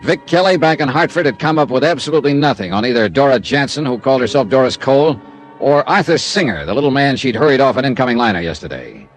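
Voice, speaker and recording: A man plays a hard-boiled insurance investigator from a classic radio serial. His mid-pitched voice swings widely in tone, with a sardonic, clipped edge. He sounds tough and wry. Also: expressive, quick pace, lightly acted. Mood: dry narrating. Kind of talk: classic radio detective drama acting. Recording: vintage radio drama with music.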